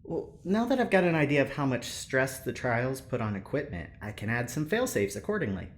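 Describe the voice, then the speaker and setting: An actor playing an upbeat, cheeky young engineer in an audio drama, talking casually and teasingly with halting bursts of enthusiasm. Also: mid-range voice, sing-song, natural voice. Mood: breezy, upbeat. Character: upbeat, cheeky Midwestern engineer, breezy and persuasive. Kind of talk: naturalistic audio drama acting.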